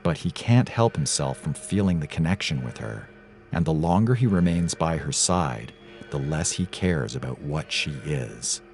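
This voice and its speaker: Male narrator for sci-fi audiobook: exceptionally low register, dramatic scripted read over music. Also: expressive, moderate pace, darkish tone. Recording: music clearly underneath.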